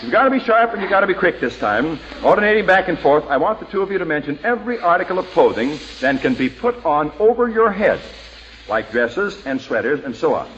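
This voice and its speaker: A man's voice: fairly high pitch, big pitch swings, fairly quick, fairly bright tone, muffled recording. Jovial 1940s radio quiz host, man. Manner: jovial, teasing, bantering. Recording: old radio broadcast with faint hiss.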